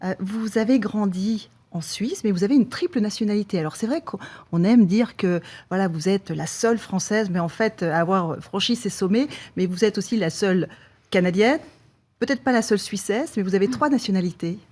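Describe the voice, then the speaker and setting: A woman's voice: mid-range voice, fairly quick, darkish tone. A woman hosting a podcast interview, asking long questions with frequent hesitations and restarts. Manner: hesitant, stumbling over words while asking. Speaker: podcast host.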